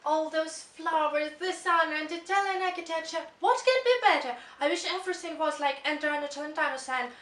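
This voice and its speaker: A woman's voice: high voice, brisk, few pauses, fairly bright tone. A teenage girl giving a rehearsed student presentation in an earnest way. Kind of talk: recited speech presentation. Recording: roomy, from a distance.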